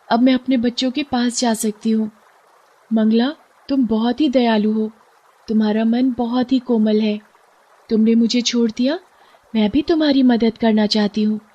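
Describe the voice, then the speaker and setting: A woman's voice: on the high side, mellow, pitched above the performer's own voice, close to an everyday voice. A woman voicing an earnest, sweet mother fish with magical powers in a children's moral story. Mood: grateful, kind. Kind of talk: moral storybook cartoon voice acting.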